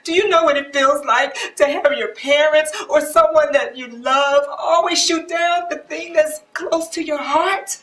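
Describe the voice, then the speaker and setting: A woman's voice: sits high, measured pace, few pauses, a bit bright. A woman playing a hurt, regretful woman in her forties in a stage play, plaintive and emphatic. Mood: wounded, bitter. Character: hurt, regretful woman nearly forty-five who gave up dancing. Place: theater stage. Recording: echoey stage, picked up from far off.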